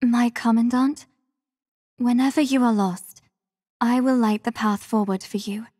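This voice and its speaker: An actress playing a devoted, composed young female warrior in a video game, speaking with formal, measured, soft courtesy. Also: on the high side, steady tone, no room sound, clean studio sound, in a large hall. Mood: tender, devoted. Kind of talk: scripted mobile game character lines.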